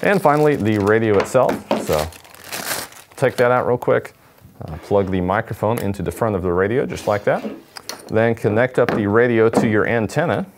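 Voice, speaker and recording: Man, twenties, low pitch, casual product demo, noticeable background noise. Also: expressive, moderate pace. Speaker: product demonstrator. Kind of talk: casual unboxing demonstration. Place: indoor studio at a table.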